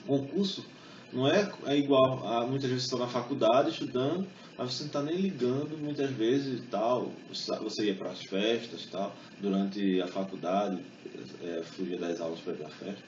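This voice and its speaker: A young man gives a relaxed, conversational talk, dropping fillers and tag questions as he goes. He is caught from a distance in a big echoing hall, and the sound is muffled and dull with a faint hiss underneath. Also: mid-range voice, moderate pace. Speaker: speaker giving a talk. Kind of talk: public talk with exam study tips. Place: event hall.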